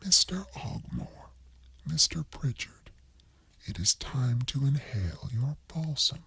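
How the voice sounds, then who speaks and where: A man voicing a fussy, domineering widowed landlady in a breathy whisper, as in a radio play reading. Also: mid-range voice, big pitch swings, slow pace, a bit bright, voice pushed up, full character voice. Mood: commanding.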